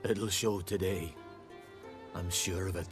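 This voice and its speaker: An actor playing an eager, eccentric island scholar in a fantasy game, using folksy archaic words. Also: male voice, deep voice, fairly even intonation, slow, music in the background. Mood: hopeful. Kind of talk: video game cutscene dialogue.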